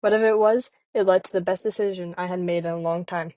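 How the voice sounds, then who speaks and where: A woman's voice: lowish voice, steady tone, fast talker, mellow, lightly acted. A woman playing a wry, understated, world-weary private detective who narrates the case in a 1950s-style radio drama. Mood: reflective.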